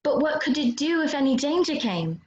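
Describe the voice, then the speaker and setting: A woman's voice: sits high, big pitch swings, brisk, a little mellow. A woman playing a curious, polite but easily exasperated little girl in a children's read-aloud play. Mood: concerned. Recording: roomy, slightly dull sound.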